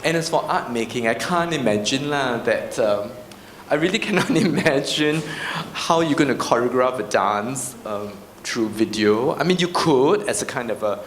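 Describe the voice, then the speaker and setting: A man's voice: mid-range voice, expressive, normal speed. A man with a Singaporean accent speaking informally on a panel, explaining his points conversationally and checking in with short tag questions. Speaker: panel speaker, arts practitioner. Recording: roomy echo, clear background noise.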